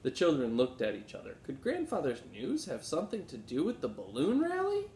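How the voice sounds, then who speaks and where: A man's voice: huge pitch swings, a little fast, darkish tone. A man in his thirties reading a children's story aloud like a teacher, expressively, acting out the dialogue. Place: small room at home. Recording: roomy echo, low rumbling noise.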